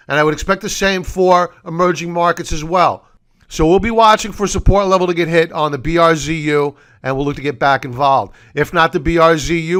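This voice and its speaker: Hoarse man doing a market recap. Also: on the high side, fairly bright tone. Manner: deliberate, explanatory, addresses viewers as folks. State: hoarse, losing his voice. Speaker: market commentary host. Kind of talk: solo market recap over charts.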